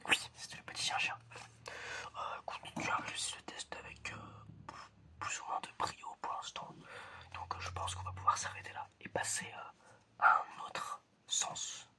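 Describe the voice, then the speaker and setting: ASMR roleplay examiner: all whisper, bright tone, casual and encouraging, lots of soft word repetition. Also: normal speed. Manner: whispers throughout, repeating words softly; casual, encouraging, informal phrasing. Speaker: ASMR creator playing an examiner. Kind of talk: ASMR roleplay, testing the listener's senses.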